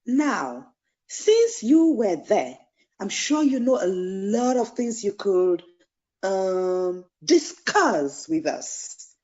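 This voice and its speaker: A woman's voice: mid-range voice, very animated, very slow, a little mellow, dull audio. A woman playing a catty, smug gossip in a biblical audio play, with a mocking manner.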